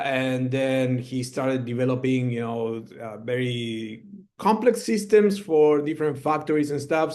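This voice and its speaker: A middle-aged man from Argentina, a guest founder speaking over a video call and telling his story in a relaxed way, with frequent fillers. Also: mid-range voice. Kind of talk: storytelling presentation.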